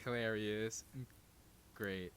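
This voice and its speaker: A man's voice: lowish voice, steady tone, takes it slowly, fairly bright tone, clear, resonant tone. A man co-hosting a podcast in casual banter, joking crudely and rambling as he goes. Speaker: podcast co-host. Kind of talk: casual banter about manga.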